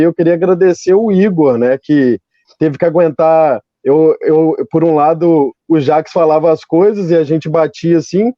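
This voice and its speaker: A man's voice: on the high side, dark tone, no echo, lo-fi sound. A man chatting as an interview guest, rambling and repeating phrases as he explains. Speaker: interview guest, podcast creator. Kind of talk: casual conversational interview.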